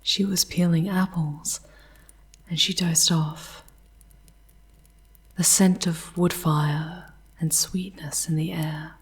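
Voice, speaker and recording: A woman plays a charming demon woman guiding a mortal in an ASMR fantasy drama. Her voice is low and heavily breathy, often sinking toward a whisper. She speaks slowly, in tender, wistful, poetic phrases with formal diction. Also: steady tone, full character voice. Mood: dreamy, tender. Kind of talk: ASMR roleplay, soft theatrical narration.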